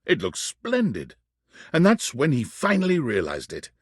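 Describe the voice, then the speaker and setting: A man playing the narrator of a video game, telling the story in a scripted, dramatic, deliberate storybook manner. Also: mid-range voice, wildly swooping pitch, husky, no room sound, clean studio sound. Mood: dramatic storytelling.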